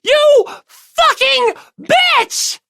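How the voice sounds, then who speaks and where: A woman's voice: very high-pitched, expressive, drawn-out words, vocal fry, bright, forceful tone, voice pushed up, exaggerated voice. A woman voicing a haughty, sadistic young lady in an audiobook, refined and imperious with a volatile temper. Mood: furious, screeching. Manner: imperious, refined, snapping into screeching. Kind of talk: anime-style audiobook character acting.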